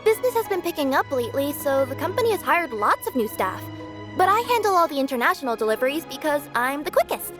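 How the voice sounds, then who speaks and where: A woman's voice: high voice, very animated, quick pace. A woman voicing an eager, polite young cat-spirit courier with a perky manner in a video game. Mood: cheerful. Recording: music playing behind the voice.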